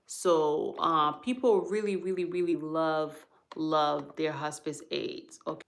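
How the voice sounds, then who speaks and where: A woman's voice: sits low, steady tone, takes it slowly. A woman hosting a live stream about hospice, explaining patiently and checking in with viewers after each point. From Haiti. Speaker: hospice worker and livestream host.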